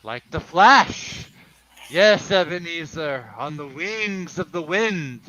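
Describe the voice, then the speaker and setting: A mournful male ghost, solemn and bombastic, giving warnings in a comic radio play, voiced by a man. He speaks slowly and fairly high, with a hard, forceful edge. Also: lively intonation, slight background noise, exaggerated voice, poor audio. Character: mournful, warning ghost of dead business partner.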